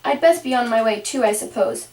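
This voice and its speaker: A woman's voice: on the high side, animated, fairly quick, a little mellow, lightly acted. A woman voicing a worried, polite young girl who frets and wonders aloud in a puppet show. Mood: wistful. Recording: roomy, distant home microphone.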